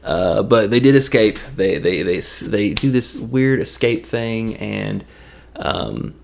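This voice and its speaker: A man's voice: on the low side, gravelly, a little mellow, a little hiss or hum. A man with a Tennessee accent giving a loose, joking, rambling recap of a movie. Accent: Tennessee Southern. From Tennessee, USA. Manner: loose, jokey, drifts into quick impressions. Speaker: movie reviewer.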